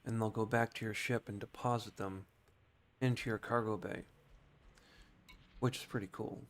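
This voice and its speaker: A man's voice: fairly low pitch, fairly even intonation, moderate pace. A man giving casual gameplay commentary as a streamer, thinking aloud and explaining things informally. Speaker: game streamer.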